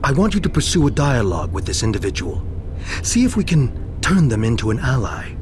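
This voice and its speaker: A male military officer from a video game, voiced by an actor gives orders with discreet authority. His delivery is measured and formal. Music runs behind the voice. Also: mid-range voice, big pitch swings, close to an everyday voice. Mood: calm, serious. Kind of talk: scripted video game dialogue.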